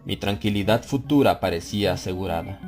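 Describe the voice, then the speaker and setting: A man reading a horror story as an audiobook narrator, theatrical, building tension with swells in pitch and loudness. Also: fairly quick, soft background music. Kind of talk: dramatic scripted narration.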